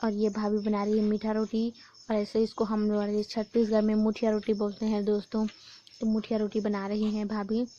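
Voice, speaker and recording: Hoarse young woman vlogging. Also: medium pitch, completely flat delivery, normal speed, mellow, muffled recording. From Chhattisgarh, India. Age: young adult. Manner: chatty, keeps addressing viewers as friends. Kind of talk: casual vlog narration. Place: village home during a festival.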